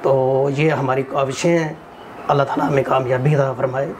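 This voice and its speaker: A man from Pakistan with a sore throat, answering an interviewer earnestly and making appeals, often filling pauses with set phrases. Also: mid-range voice, steady tone, a little mellow, a little hiss or hum. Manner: earnest, earnestly appealing, with frequent "jo hai" fillers. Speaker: forest restoration activist, interview guest.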